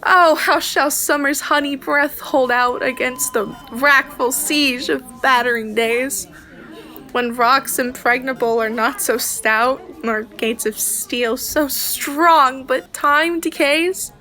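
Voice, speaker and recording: A woman's voice: high voice, slow, sharp tone, faint music behind. A young woman delivering a Shakespeare sonnet as a dramatic monologue. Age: young adult. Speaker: student drama performer.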